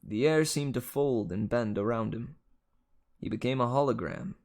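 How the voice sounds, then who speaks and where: A man's voice: medium pitch, normal speed, a little mellow. A man reading a children's novel aloud in scripted story narration. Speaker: audiobook reader.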